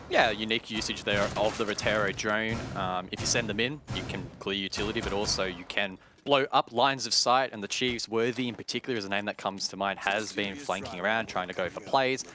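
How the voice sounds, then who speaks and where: A man's voice: fairly low pitch, a little fast, few pauses, a crowd in the background. A man giving detailed, explanatory live commentary on an esports match. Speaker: esports caster. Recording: game music and gunfire behind.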